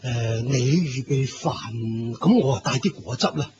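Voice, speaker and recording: A man playing an amiable older Indian villager in a radio drama, chatty, folksy and expressive. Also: mid-range voice, a little slow, soft background music, close to an everyday voice. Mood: cheerful, agreeable. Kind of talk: Cantonese radio drama acting. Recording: dull, noisy old radio recording.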